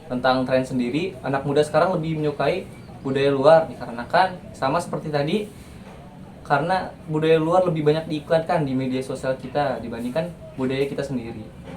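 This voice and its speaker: An eighteen-year-old young man giving brief, measured answers in an interview. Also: on the high side, a little hiss or hum. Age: late teens. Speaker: interviewee, student. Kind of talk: casual spoken answers.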